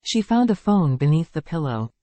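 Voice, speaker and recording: A speaker reading short example sentences for an English lesson in a clear, measured way. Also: medium pitch, sing-song, warm tone, no echo. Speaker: English teacher.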